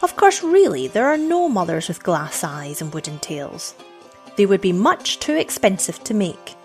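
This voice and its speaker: Teasing country girl, voiced by a woman, coolly polite, offhand and disdainful, expressive intonation. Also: medium pitch, normal speed, faint music behind, voice pushed up. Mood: unconcerned. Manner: prim, offhand, scornful. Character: scornful village girl, cool, teasing, unconcerned.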